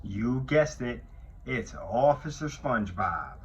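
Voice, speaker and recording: A man, a police officer, talking and reading to children in an expressive way, addressing them directly. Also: mid-range voice, takes it slowly, highs cut off, a little hiss or hum. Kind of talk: children's read-aloud and talk to pupils.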